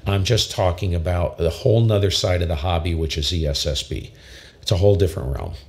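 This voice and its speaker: A man in his fifties with a very low voice, enthusiastically explaining ham radio audio gear in a casual tutorial from his home radio room. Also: brisk. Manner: enthusiastic, emphatic, repeats himself. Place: home radio shack, small indoor room. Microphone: studio condenser mic with pop filter.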